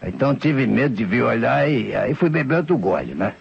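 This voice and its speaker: Gravelly, stammering old Brazilian vagabond. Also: male voice, mid-range voice, sing-song, fairly quick, exaggerated voice. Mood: awed, insistent. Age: older. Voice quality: gravelly, husky. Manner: stammering, rambling, folksy, humble. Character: old tipsy male vagabond beggar, frightened, insistent witness. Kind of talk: old radio mystery serial acting. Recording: muffled vintage radio drama recording.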